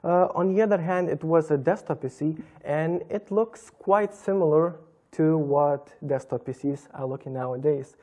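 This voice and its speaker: A young man with a Lithuanian accent, answering interview questions as a guest in a measured, explanatory way. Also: a little high, mellow. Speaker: computer business guest. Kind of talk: interview talk. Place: TV studio in a hotel.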